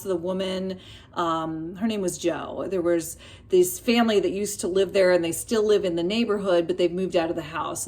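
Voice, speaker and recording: A woman talking casually and expressively about books and telling personal stories, often pausing mid-thought as a vlogger does. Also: medium pitch. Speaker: book reviewer vlogger. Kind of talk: casual chatty book review and personal storytelling.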